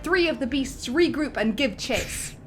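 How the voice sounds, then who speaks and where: A woman with a high, bright voice narrates an action script. She is theatrical and playful in a comedic dramatic style, with faint music underneath. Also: lively intonation, a little slow. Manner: theatrical, breaks easily into giggles.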